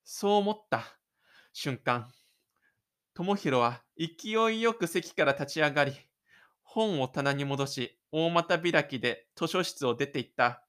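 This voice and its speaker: Male narrator for audio drama, slightly high register, dramatic scripted storytelling. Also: expressive, takes it slowly, fairly bright tone.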